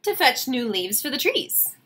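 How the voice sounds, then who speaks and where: A woman, reading a picture book aloud to children with expressive, enthusiastic, chatty asides. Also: high voice, a little slow, husky. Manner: expressive, enthusiastic, questioning the listeners. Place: small home room, indoors.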